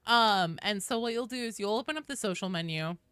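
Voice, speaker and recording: A woman's voice: medium pitch, a little fast, a bit bright, clean tone, dry recording, studio quality. A woman hosting a casual game livestream, chatting informally and explaining things at length as she talks directly to viewers. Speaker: video game streamer, host.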